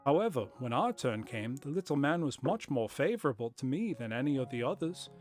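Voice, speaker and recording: A man's voice: medium pitch, very animated, a little fast, a little mellow, natural voice. Man voicing a storytelling shopkeeper, put-on period accent, mid-range voice, faint music underneath. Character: chatty middle-aged male shopkeeper telling his story. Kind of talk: reading a story aloud.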